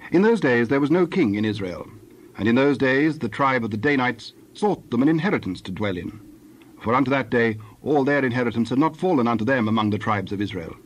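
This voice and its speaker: Husky male Bible narrator. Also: mid-range voice, animated, a little fast, rough recording.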